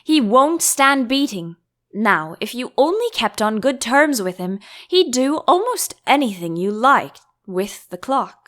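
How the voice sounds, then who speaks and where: A woman's voice: on the high side, very animated, a little slow, a bit bright. A woman voicing an eccentric male hatter, theatrical and emphatic, by turns contemptuous and mournful, in a storybook reading. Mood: emphatic, scheming.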